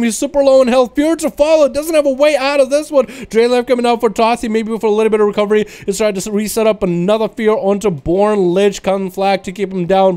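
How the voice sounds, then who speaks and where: A man with a slight Russian accent giving excited, fast play-by-play commentary on a video game match, with loud outbursts at big plays. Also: very high-pitched. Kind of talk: casual esports commentary.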